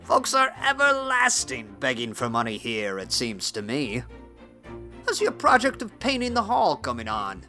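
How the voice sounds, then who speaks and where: An actor playing a cranky, outspoken older farmer in a storybook dramatization, grumbling in a blunt, folksy manner. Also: male voice, medium pitch, wildly swooping pitch, a little slow, sharp tone, soft background music, full character voice. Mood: grudging. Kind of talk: audiobook character acting.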